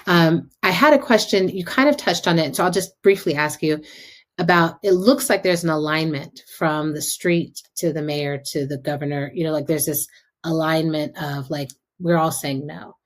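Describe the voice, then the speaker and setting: Low-voiced American woman podcast host. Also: moderate pace. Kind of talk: casual conversational interview.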